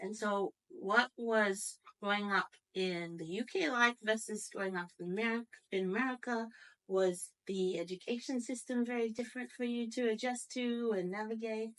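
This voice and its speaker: A woman's voice: on the high side, steady tone, normal speed, fairly bright tone, rough recording. Woman hosting a panel conversation. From born outside the US. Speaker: guest host of a workplace panel.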